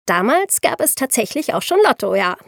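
A woman's voice: a little high, big pitch swings, moderate pace, vocal fry, no room sound, studio quality. A woman co-hosting a podcast, telling history in a conversational, explanatory way with informal asides. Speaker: podcast co-host.

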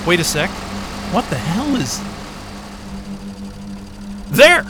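An actor playing a wry, foul-mouthed, caring explorer in a naturalistic science fiction audio drama, talking casually with muttered hesitations. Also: male voice, high-pitched, very animated, slow pace, noisy background, full character voice. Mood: curious, strained.

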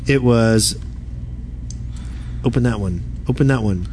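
A man's voice: medium pitch, measured pace, darkish tone. A man hosting a podcast in casual chat on an indoor convention floor. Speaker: podcast co-host. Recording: background noise of a busy convention floor. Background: convention ambience and noise.

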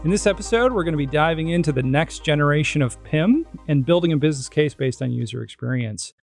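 A man's voice: a little high, animated, fast talker, vocal fry, darkish tone, soft background music. A man hosting a podcast interview, talking casually with many "you know" fillers. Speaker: guest host.

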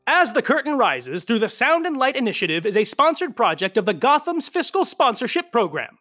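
A man's voice: extremely high pitch, big pitch swings, brisk, sharp tone, faint music behind. A man narrating a comic podcast soap opera, reading the end credits in a playful, flamboyant way. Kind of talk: theatrical credits read-out.

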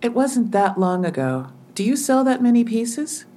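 A skeptical, animated police interrogator. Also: female voice, a little high, moderate pace. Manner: pointed, skeptical. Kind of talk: audio drama dialogue.

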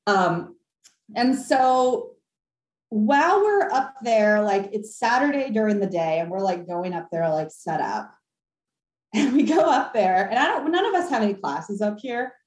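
A young woman telling a ghost story on a podcast call, animated and laughing, with lots of fillers. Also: mid-range voice, unhurried. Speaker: caller. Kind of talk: casual storytelling. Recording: echoey room.

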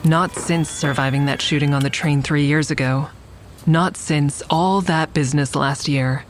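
A woman's voice: sits low, steady tone, a little slow, slight background noise. A woman playing a wary survivor who narrates in the first person in an audio drama, introspective in manner. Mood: wary, reflective. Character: wary shooting survivor narrating a nighttime adventure.

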